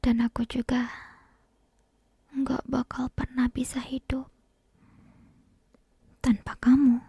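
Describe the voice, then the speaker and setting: A woman playing an obsessive, possessive yandere kidnapper in an ASMR roleplay, whispering slowly and breathily with menacing sweetness, close to a binaural microphone. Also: sits high, flat delivery, warm tone, slightly rough, no room sound, full character voice. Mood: possessive devotion. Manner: slow, lingering, menacingly sweet delivery. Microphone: close binaural ear microphone.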